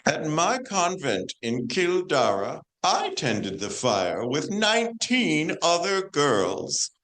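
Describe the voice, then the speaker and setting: Woman acting a slow, stately female saint. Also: sits low, big pitch swings, a bit bright, full character voice, rough recording. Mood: dignified, earnest. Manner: measured, declamatory storytelling. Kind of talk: amateur stage play performance.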